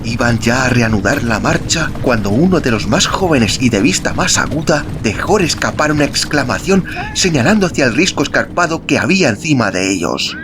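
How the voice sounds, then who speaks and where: A man narrating a novel in a solemn, expressive, dramatic storytelling style, with music behind him. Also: mid-range voice, moderate pace, background noise. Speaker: audiobook narrator. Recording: music bed under narration.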